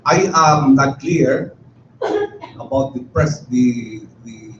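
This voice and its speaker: A man, asking a long, halting question from the floor at a public forum, restarting his sentences often. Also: mid-range voice. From Philippines. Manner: hesitant, many restarts and fillers. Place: meeting hall. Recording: roomy, dull hall recording with faint noise.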